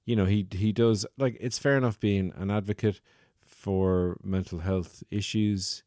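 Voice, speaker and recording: A man's voice: lowish voice, fairly even intonation, unhurried, warm tone, no echo, clean studio sound. A man hosting a solo comedy podcast, talking in a wry, rambling, self-mocking way. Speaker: podcast host.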